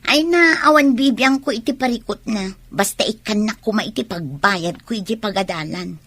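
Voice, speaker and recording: An actress with an Ilocano Filipino accent playing a self-centred, demanding young college student with a husky voice in a radio drama. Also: female voice, mid-range voice, moderate pace, fairly bright tone, no room sound. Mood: irritated.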